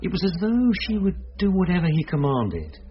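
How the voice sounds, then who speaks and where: An actor playing a calm, reassuring police detective who explains things gently in a radio drama. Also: male voice, sits high, fairly quick, slightly rough, exaggerated voice. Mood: matter-of-fact. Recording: slightly dull radio recording.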